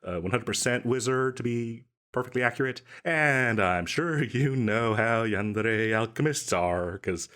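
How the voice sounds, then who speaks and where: A man's voice: medium pitch, measured pace, few pauses, a bit bright, clean tone, natural voice. A man playing an overeager, chatty and cheerfully shady salesman in a comedic audio roleplay, rambling and stammering coyly through his patter. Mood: chatty, impressed. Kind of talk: comedic audio roleplay, one side of a conversation.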